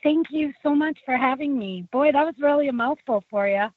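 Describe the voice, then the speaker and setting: A woman guest telling her story in a casual interview, with a low voice left by a tracheotomy, talking in a chatty way full of fillers and restarts. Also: a little fast. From United States. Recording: tinny phone line, dry.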